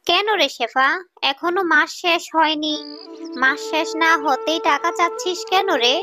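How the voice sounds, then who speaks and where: Woman voicing a high, stern, stingy tigress. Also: measured pace, pushed and bright, faint music behind. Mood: suspicious. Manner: curt, disdainful. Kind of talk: animated children's story dialogue.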